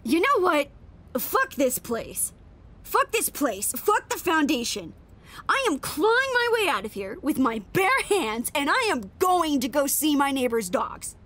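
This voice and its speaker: An actress playing a sardonic, defiant young staffer who rants bitterly in an audio drama. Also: female voice, high voice, big pitch swings, a little slow, a bit bright, heavily acted. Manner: bitter, emphatic ranting. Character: fed-up, sardonic young female staffer, foul-mouthed and defiant.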